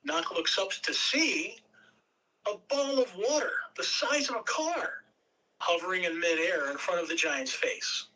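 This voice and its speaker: A woman reading stage directions as narrator at a table read, measured, with occasional stumbles over words. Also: fairly low pitch, animated, pushed and bright, far from the mic, low-quality recording.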